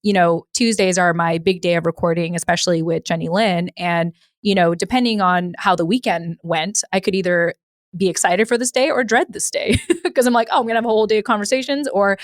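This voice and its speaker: A woman's voice: lowish voice, fairly quick, no echo, clean studio sound. A woman hosting a podcast in a casual, conversational and emphatic way. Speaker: podcast host.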